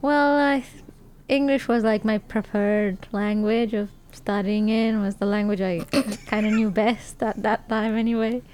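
A woman's voice: mid-range voice, steady tone, measured pace, a little mellow, smooth. A young woman from Romania with a Romanian accent, a guest chatting casually, answering in a dry, teasing way. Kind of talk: casual chat. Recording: faint background noise.

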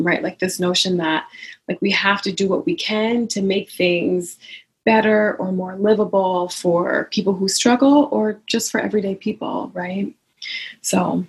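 A woman's voice: mid-range voice, low-quality recording. A woman leads a panel discussion, responding to each guest with affirming thanks. Her speech is conversational, with filler phrases like "you know" and "right". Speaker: panel moderator.

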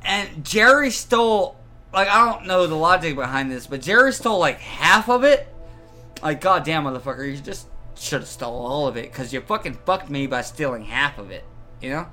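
A man in his thirties chatting casually and telling personal stories in a rambling, animated, profane way. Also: on the high side, moderate pace, soft background music. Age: 30s. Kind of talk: casual chat, personal anecdotes.

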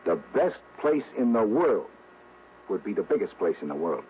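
Deep-voiced, shrewd radio insurance man. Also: big pitch swings, moderate pace. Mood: confiding. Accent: American. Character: genial, shrewd male insurance man, former narcotics investigator. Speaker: supporting actor in a radio detective drama. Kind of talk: 1960s radio acting. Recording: tinny, hissy old radio recording.

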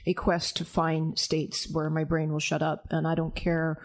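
A woman with a deep voice, talking frankly and confidently as a guest on a panel conversation. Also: monotone, measured pace. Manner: frank, emphatic and self-assured. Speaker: anonymous guest.

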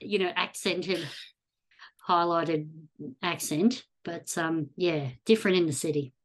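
An Australian woman guesting on a podcast, chatting in a relaxed way and laughing often. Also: lowish voice, a little slow. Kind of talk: casual interview chat.

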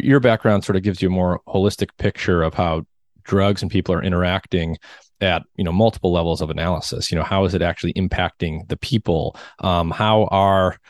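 A man's voice: sits low, no room sound, clean studio sound. A man hosting a podcast, engaged and affirming as he frames questions for his guest. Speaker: podcast host.

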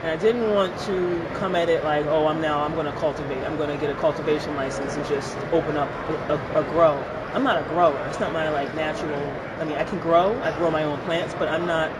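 A woman from Philadelphia, a guest on a podcast, answering in a relaxed, candid way with frequent restarts. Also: low voice, a little fast, few pauses. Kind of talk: conversational interview answers. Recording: loud traffic noise behind.

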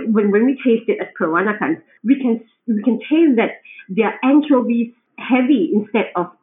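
A woman from Singapore with a Singaporean accent, a home cook chatting as a podcast guest, explaining with frequent restarts. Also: fairly high pitch. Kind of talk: conversational interview. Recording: tinny phone-like remote line.

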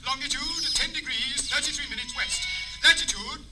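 An actor playing a desperate, exasperated sailor, calling urgently for help by radio in a broadcast sitcom. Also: male voice, very high-pitched, moderate pace, sharp tone, soft background music, full character voice, poor audio. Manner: urgent, exasperated, well-spoken.

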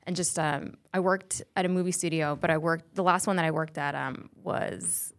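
A woman's voice: low-pitched, normal speed. A young woman from Colorado, a comedian guest, chatting on a podcast and telling stories with lots of fillers. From Colorado, USA. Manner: chatty, animated storytelling with many fillers. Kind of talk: casual podcast chat.

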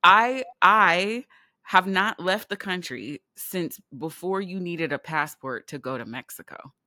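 Podcast co-host, middle-aged woman from California, lowish voice, dry close sound, laughs and swears a lot. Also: measured pace, sharp tone, no echo. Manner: laughs often, swears casually. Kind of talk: casual chat.

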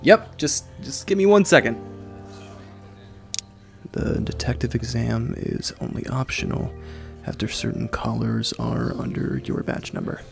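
Man playing a creaky, flustered rookie cop. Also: mid-range voice, wildly swooping pitch, a little slow, faint music behind, heavily acted. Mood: flustered, apologetic. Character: flustered, eager rookie policeman. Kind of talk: noir audio drama acting.

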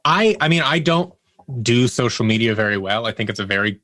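A man talks casually as an interview guest with a bright, forceful voice. He is candid and emphatic, slipping into little quoted exchanges to make his point. The sound is dry, with no room around it. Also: mid-range voice, expressive, fairly quick, no room sound. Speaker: interview guest, audio producer.

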